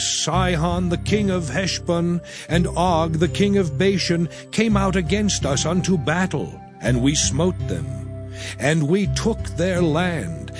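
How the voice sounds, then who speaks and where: A man playing a solemn, declamatory prophet over music. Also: fairly high pitch. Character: Moses, solemn male prophet and leader addressing his people. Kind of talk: dramatized scripture reading in King James English.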